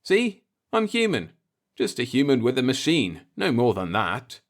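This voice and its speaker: A man's voice: mid-range voice, wildly swooping pitch, measured pace, voice pushed up, heavily acted. A man voicing an earnest, somewhat impatient human space traveler who reasons persuasively in a science fiction audiobook. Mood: insistent, pleading. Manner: insistent, explanatory, persuasive.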